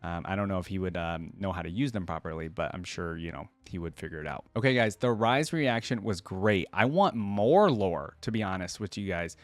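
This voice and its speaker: A man's voice: low-pitched, animated, fairly quick, few pauses, dry recording. A man hosting a reaction video, giving casual, informal commentary and repeating phrases for emphasis.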